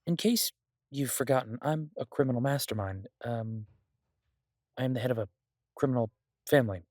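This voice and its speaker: A man performs a charming male crime boss in an intimate audio roleplay, recorded very dry with no room sound. His voice sits at a middle pitch as he rambles casually, pausing and hesitating, slipping between self-mockery and playful boasting. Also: darkish tone, dry recording, close to an everyday voice. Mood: sheepish, mock-indignant. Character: charming wry male mafia boss, restless in hiding.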